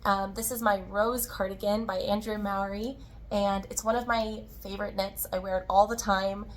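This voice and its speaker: A woman hosts a casual craft vlog in a friendly, chatty manner. Her mid-pitched voice sounds a little hoarse and worn, as if getting over being sick. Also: flat delivery, normal speed. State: slightly hoarse, tired-sounding from recovering from illness. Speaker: podcast host, yarn dyer and shop owner. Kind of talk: casual crafting video chat.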